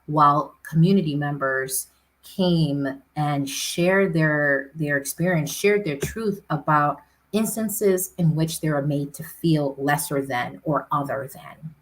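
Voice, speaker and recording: A woman's voice: sits low, slow, slight background noise, rough recording. A woman city councilor answering interview questions earnestly, often tagging points with "right?".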